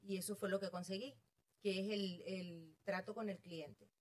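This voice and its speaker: A middle-aged woman with a Venezuelan accent, a real estate agent guest telling her story in an animated, self-amused way. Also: mid-range voice, moderate pace. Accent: Venezuelan Spanish. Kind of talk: casual interview chat.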